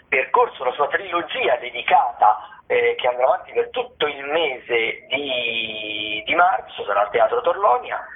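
A man talking conversationally as a theatre critic on radio, playful and rambling, with hesitant phrasing. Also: mid-range voice. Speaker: theatre critic giving weekly listings. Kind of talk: radio commentary, conversational listings. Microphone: phone call. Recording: thin telephone line.

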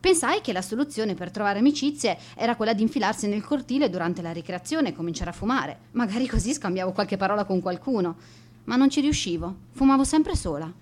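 A woman's voice: mid-range voice, lively intonation, fairly quick, a little mellow, natural voice. Wry, lonely outcast schoolgirl, played by a woman. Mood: rueful. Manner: confiding, self-deprecating, wry. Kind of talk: radio drama monologue.

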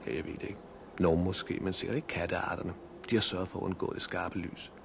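An actor playing a calm, practical, protective survivor in a 1960s Danish radio drama. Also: male voice, low voice, vocal fry. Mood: matter-of-fact. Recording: noisy, clicking 1960s radio recording.

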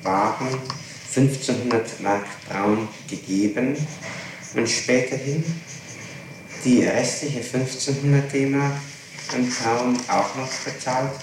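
A very reverberant, noisy old tape of a man conducting a formal interrogation. His voice is medium in pitch, and he puts his questions in a methodical, probing, official way. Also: takes it slowly. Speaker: public prosecutor, interrogator. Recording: very echoey, noisy 1960s tape recording.

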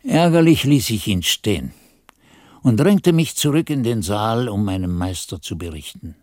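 An actor playing a reflective monk who narrates his memories in measured, literary radio drama storytelling. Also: on the low side, expressive, darkish tone, no room sound, studio quality, natural voice. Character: reflective monk recounting his novice days. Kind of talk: scripted first-person radio drama narration.

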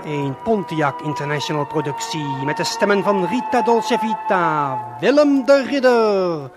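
Slow, theatrical male storyteller over music. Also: high-pitched, expressive. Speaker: narrator and host of an audio story. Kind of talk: theatrical scripted radio-play narration addressing the listener. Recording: 1970s vinyl audio play with music.

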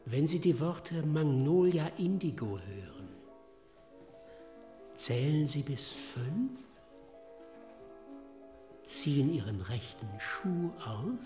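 An actor playing a commanding cabaret hypnotist in a German radio drama, speaking in a showy, suggestive manner. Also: male voice, on the high side, big pitch swings, takes it slowly, pauses often. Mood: theatrical, commanding. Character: commanding, theatrical male cabaret stage hypnotist. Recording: roomy echo. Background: loud music.

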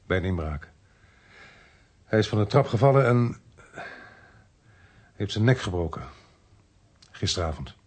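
An actor with a Netherlands Dutch accent playing a sober, dogged police inspector who narrates his own story in a measured, understated radio drama style. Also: lowish voice, expressive, husky, dry recording. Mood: grave, gentle. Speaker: actor, lead role and narrator of a radio play.

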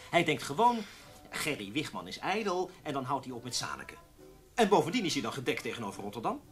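Male actor as ambitious young journalist, vain and insistent, with very animated intonation, radio play. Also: medium pitch, fast, faint music behind, natural voice. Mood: insistent, irritated. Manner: insistent, self-assured. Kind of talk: radio drama dialogue.

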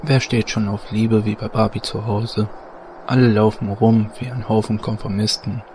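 Flat, low-voiced gloomy goth played by a man. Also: moderate pace, natural voice. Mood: morose, contemptuous. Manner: deadpan, morose. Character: gloomy, contemptuous young goth nonconformist. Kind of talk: German fantasy parody audio drama. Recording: background noise.

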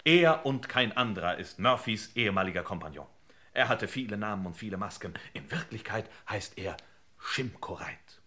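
An actor playing a wry, self-assured detective boss in a 1960s German radio play, droll and deliberate. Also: male voice, lowish voice, lively intonation, pushed and bright. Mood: droll storytelling. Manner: droll, deliberate, mock storybook narration.

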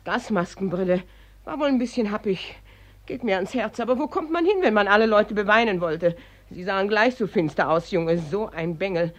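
An actress playing a gossipy, callous older working-class housewife in a mid-century radio drama, colloquial and falsely sympathetic. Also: female voice, medium pitch, expressive, moderate pace, close to an everyday voice. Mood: callous, shrugging.

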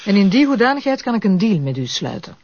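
A woman acting as a firm, formal public prosecutor with a measured, official manner in a crime drama. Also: mid-range voice, sing-song, darkish tone. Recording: dull, muffled audio.

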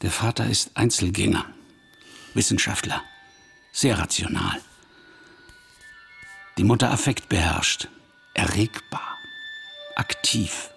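Authoritative palm-reading analyst in a radio play: very unhurried, gravel-edged, certain of every verdict. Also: male voice, lowish voice, fairly bright tone, soft background music, heavily acted. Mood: clinical pronouncing. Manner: deliberate, declarative, authoritative. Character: commanding, probing male palm-reading psychoanalyst, self-assured.

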